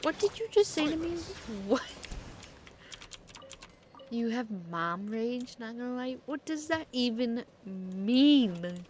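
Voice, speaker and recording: A woman streams a game with casual, slangy commentary, swinging widely in pitch at an unhurried, slow pace. She is playful and blunt, with faint noise behind her. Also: very animated, a little mellow.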